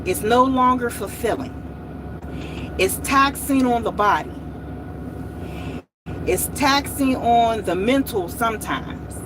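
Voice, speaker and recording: A woman's voice: fairly high pitch, expressive, slow pace, long pauses, noisy background. A woman in her late forties hosting a motivational vlog, speaking in a repetitive, encouraging way and often saying y'all. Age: 40s. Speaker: host.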